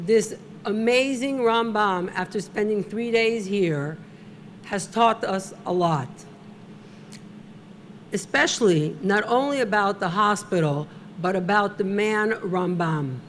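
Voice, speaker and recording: A middle-aged woman presenting an award at a gala, giving a slow, deliberate, emotional tribute speech at a podium microphone. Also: mid-range voice, slight background noise.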